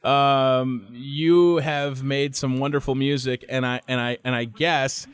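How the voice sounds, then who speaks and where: A man's voice: mid-range voice, steady tone, slow pace, clean tone, no echo. A man hosting a radio show, eager and a little nervous, talking like a fan to his guest. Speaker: radio host. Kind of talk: radio interview.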